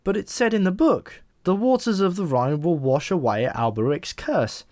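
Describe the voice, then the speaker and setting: A man's voice: high-pitched, expressive, normal speed, a little mellow, dry recording, studio quality, pitched above the performer's own voice. Man acting a bewildered, hesitant ordinary man. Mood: puzzled. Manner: hesitant, puzzled.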